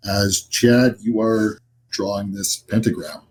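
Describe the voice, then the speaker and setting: A man's voice: lowish voice, takes it slowly, a little hiss or hum. A man narrating a tabletop game as game master, in an informal, improvised, conversational way.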